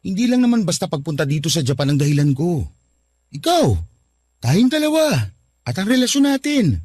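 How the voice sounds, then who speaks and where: A man's voice: high voice, very animated, a little fast, darkish tone, no echo, studio quality. An actor playing a devoted young man in a Tagalog radio drama, who earnestly sweet-talks his girlfriend.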